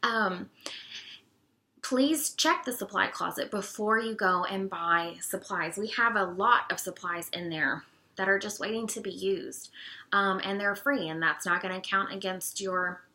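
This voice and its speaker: A woman with a bright, forward tone and a raspy edge from allergies. She talks in a casual, friendly way, stopping often on "um" as she walks through the details. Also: mid-range voice, unhurried. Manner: friendly, chatty, many "um"s. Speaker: co-op treasurer. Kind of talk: casual informational video talk.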